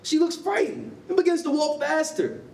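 A woman reads scripted narration with animated pitch. She is heard from a distance in an echoing rehearsal space, with faint noise. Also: normal speed, creaky. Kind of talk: scripted narration of staged reading. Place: concrete rehearsal hall, live staged reading. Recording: roomy, distant mic, noisy rumble.

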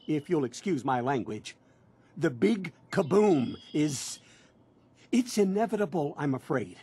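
An actor playing a pushy, cheerful, polite door-to-door salesman in a video game, with a raspy voice. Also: fairly high pitch, a little mellow. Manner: effusive, polite, ingratiating. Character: eager, fast-talking male door-to-door salesman, pushy and cheerful.

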